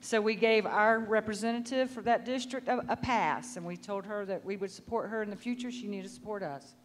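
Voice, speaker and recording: A woman's voice: mid-range voice, fairly quick, a little hiss or hum. Hesitant woman commissioner explaining a vote. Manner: hesitant, with many fillers. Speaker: county commissioner, workshop participant. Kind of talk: explaining a group decision. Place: conference room.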